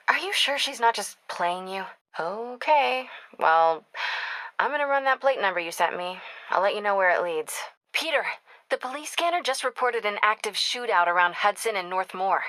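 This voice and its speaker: An actress playing a sharp, determined young American investigative reporter in a video game, speaking in a wry, brisk, conversational way as if on the phone. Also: female voice, mid-range voice, no room sound, poor audio. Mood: annoyed, wary, then businesslike. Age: young adult.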